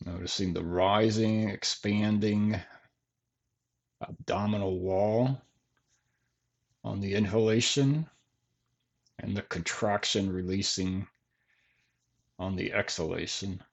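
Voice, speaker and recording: Man, deep voice, slightly husky, meditation teacher, gentle instruction, unhurried with long pauses. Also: steady tone. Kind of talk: guided body scan meditation.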